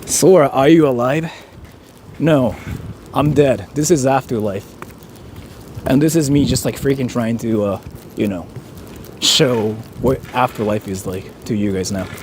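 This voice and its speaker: A man's voice: medium pitch, unhurried, pauses often, a little mellow, a little hiss or hum. A man, chatting casually on a live stream and reading viewer donations, rambling and joking with lots of "like.". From Japan. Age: 30s. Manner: rambling, joking, heavy use of "like".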